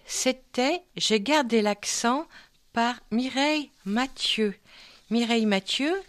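A woman radio host presents birthday dedications in an unhurried, measured way, announcing dates and song titles. Her voice sits fairly high for a woman and sounds dry and close, with no room around it. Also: no room sound.

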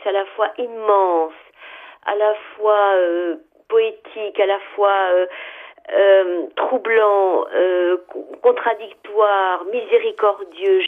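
A woman in her seventies from Algeria, a writer answering interview questions. She speaks in a thoughtful, hesitant way, with many fillers, and lists her ideas one after another. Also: mid-range voice. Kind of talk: radio interview, reflective answers. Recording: phone-line sound, narrow band.

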